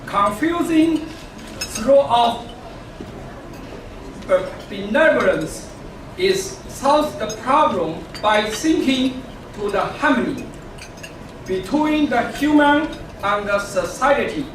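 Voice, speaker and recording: A woman from China speaking English with a Chinese accent, giving a formal conference speech, slow and halting as she searches for words. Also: on the high side, animated. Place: large conference hall. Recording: echoey hall, distant mic, noisy.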